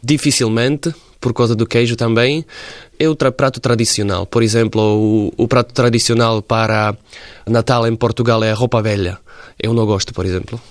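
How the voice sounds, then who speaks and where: A man in his forties from Slovakia, speaking Portuguese with a Slovak accent as a radio interview guest, pausing often to search for words. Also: medium pitch, fairly even intonation, dry recording. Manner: halting, searching for words in a second language. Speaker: interview guest, former footballer.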